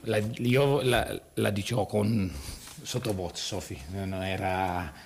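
A man with an Italian accent, a basketball coach answering press questions haltingly in a second language, hunting for words. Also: fairly low pitch, steady tone, a little hiss or hum. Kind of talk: post-game press conference answers. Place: press room.

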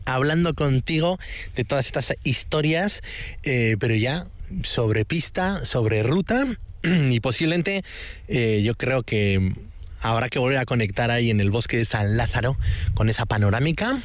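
A man from Bilbao presenting a travel show, chatting in a lively way while walking an outdoor trail. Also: mid-range voice, measured pace, no echo. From Bilbao, Spain. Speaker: host.

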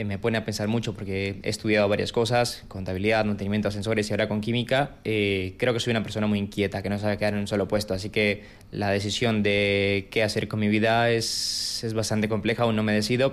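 A young Colombian man speaks in a low, flat and smooth voice. He answers in a modest, hesitant way, often repeating a word before he goes on. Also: brisk, hardly any pauses. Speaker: interviewee, refugee and private tutor. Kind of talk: casual interview answers.

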